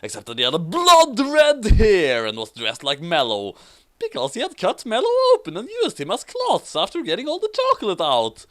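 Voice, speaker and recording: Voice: mid-range voice, huge pitch swings, moderate pace. Someone reading a story aloud with a dramatic, giggly delivery and amused commentary. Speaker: reader and commentator of fan fiction.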